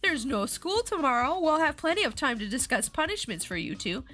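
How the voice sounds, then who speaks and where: A woman's voice: sits high, very animated, brisk, pushed and bright, voice pushed up, heavily acted. A woman voicing an angry mother in a storybook, sharply ordering her children about. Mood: stern.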